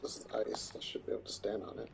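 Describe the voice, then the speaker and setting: A man casually chatting and joking while streaming a fantasy game on a mic. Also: fast talker. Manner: chatty, joking, talks to chat and characters. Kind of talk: casual gameplay commentary. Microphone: headset or desk mic.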